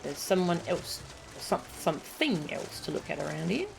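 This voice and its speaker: A woman's voice: on the low side, moderate pace, vocal fry, over music, poor audio. A woman casually commenting to herself while playing a video game on stream. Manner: relaxed, musing to herself. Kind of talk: casual let's-play commentary.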